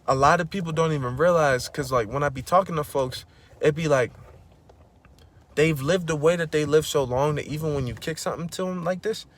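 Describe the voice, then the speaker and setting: Dude preaching motivation at the camera, slangy, keeps saying bro, kinda high voice, goes loud when hyped. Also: male voice, fairly quick, slight background noise. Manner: slangy, preachy, repeats "bro" and "you know what I'm saying". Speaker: vlogger. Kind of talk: casual motivational monologue.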